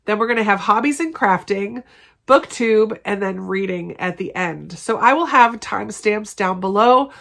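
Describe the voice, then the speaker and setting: A woman's voice: mid-range voice, moderate pace, vocal fry, a bit bright. A woman hosting her book channel, chatting casually through a viewer Q&A. She sounds stuffed up from a cold and talks in an upbeat way, with small laughs between sentences. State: congested from a cold or allergies. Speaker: BookTube channel host.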